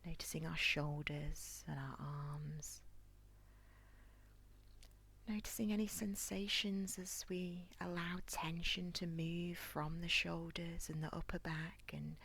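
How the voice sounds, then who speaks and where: A woman leading a guided body scan meditation in a soft, near-whispered, breathy voice with a calm, gentle, soothing manner. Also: lowish voice, takes it slowly. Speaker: guided relaxation instructor.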